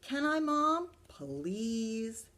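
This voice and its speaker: A woman plays an eager young boy from a children's storybook. The voice is pushed up high and loud, pleading and excitable. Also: extremely slow, heavily acted.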